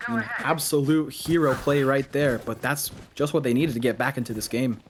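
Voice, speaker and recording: A man giving live play-by-play commentary on a video game match, excitable on big plays and analytical between them. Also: mid-range voice, a little fast, background noise.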